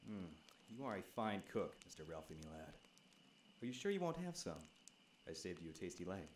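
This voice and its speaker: A man's voice: deep voice, sing-song, brisk, a little hiss or hum. An actor playing a sly henchman in an audio drama, speaking in flowery, archaic, mock-courteous phrases. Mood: sly, leisurely. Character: sly, courtly male villain henchman, mock-polite traveling rogue. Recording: music behind the voice.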